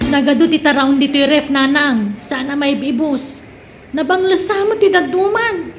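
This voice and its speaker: Disapproving young daughter voiced by a woman, Ilocano accent, very high pitch, chiding comedy delivery. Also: moderate pace, music in the background, natural voice. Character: disapproving, sensible young daughter scolding her mother. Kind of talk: comedy drama acting.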